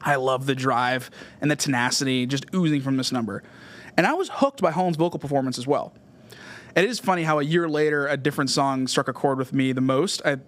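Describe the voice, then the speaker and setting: A man's voice: mid-range voice, a bit bright. A man hosting a music podcast, giving an enthusiastic album review monologue and often checking in with "right?". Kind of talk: album review monologue, semi-prepared commentary.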